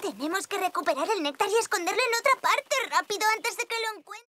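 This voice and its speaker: An adventurous little bee girl from a children's cartoon. She is extremely high and childlike, with lively, unhurried phrasing and an eager, curious temper. Loud music runs behind her. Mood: urgent. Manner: bright, eager, persuasive, childlike. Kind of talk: dubbed children's cartoon acting.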